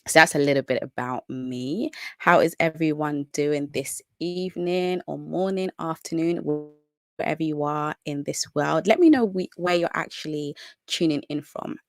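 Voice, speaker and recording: A young British woman chats brightly through a live stream, greeting viewers by name as she goes. Her voice carries a slight gravelly fry, and the sound is dry and close to the mic. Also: low voice, moderate pace, dry recording. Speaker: natural hair educator hosting a live Q&A.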